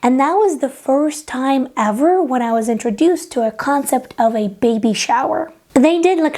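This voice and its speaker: High-voiced young woman from Latvia. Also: a little mellow. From Latvia, Eastern Europe. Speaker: sewing vlogger. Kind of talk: casual personal vlog.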